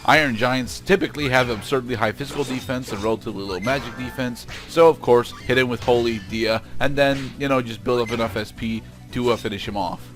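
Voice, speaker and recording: A man's voice: medium pitch, a little fast, few pauses, fairly bright tone, soft background music. A man giving casual commentary on a video game he is playing. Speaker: let's play commentator.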